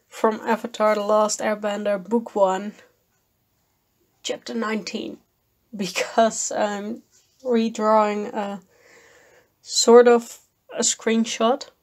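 Slow-talking woman losing her voice. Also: mid-range voice. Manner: slow, thoughtful, with fillers and small laughs. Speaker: art channel host. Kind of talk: voice-over commentary on a painting video.

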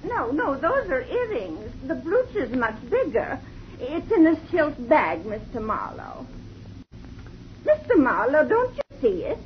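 A fretful, tearful neighbour. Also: female voice, sits high, wildly swooping pitch, unhurried, exaggerated voice. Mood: anxious. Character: anxious, fluttery woman, a grieving neighbour. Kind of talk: 1950s radio drama acting. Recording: dull vintage radio broadcast with faint hiss.

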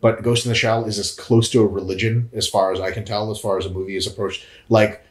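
Animated, profane man on a couch. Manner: animated, profane, jokey, swings in pitch. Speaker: co-host answering viewer questions. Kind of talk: casual chat. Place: indoor room, on a couch. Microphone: lapel mic. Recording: echoey room.

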